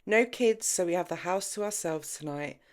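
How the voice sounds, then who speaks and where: A woman's voice: on the low side, normal speed, natural voice. A woman voicing a mischievous, free-spirited, tipsy woman with a dramatic, insistent manner in an audiobook. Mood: exuberant.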